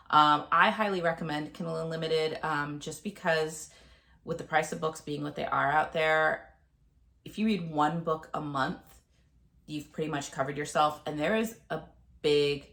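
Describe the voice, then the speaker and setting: A woman in her thirties talking casually to camera about her month's reading, a bit nasal and gravelly from a cold, with plenty of ums and uhs. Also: lowish voice, a bit bright. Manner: chatty, with frequent ums and uhs. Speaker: book vlogger.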